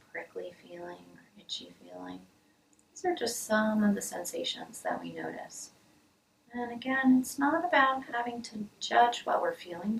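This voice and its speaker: A woman leading a guided body scan meditation as a mindfulness teacher, speaking softly and soothingly with pauses, often trailing into a breathy near-whisper. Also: medium pitch. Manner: soft, soothing, measured with pauses. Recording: roomy, distant mic, hissy background noise.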